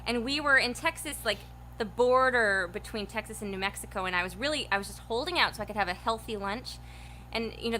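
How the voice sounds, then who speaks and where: A woman's voice: a little high, sharp tone, a little hiss or hum, low-quality recording. A woman musician telling chatty stories about road trips to friends. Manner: chatty storyteller, often laughing. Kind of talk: casual chat.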